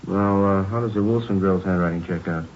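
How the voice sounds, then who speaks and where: A dull, faintly noisy vintage radio recording features a man acting as a police detective. His voice is low, and he talks plainly and casually, puzzling things through in a low-key way. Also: a little fast, a little mellow, lightly acted. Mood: puzzled.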